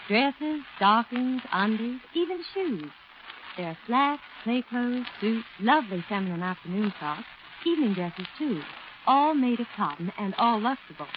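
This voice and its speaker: A woman's voice: on the high side, very animated, a little slow. A woman with an American accent delivering scripted fashion copy in a 1940s radio style. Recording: muffled, faintly noisy 1940s radio broadcast.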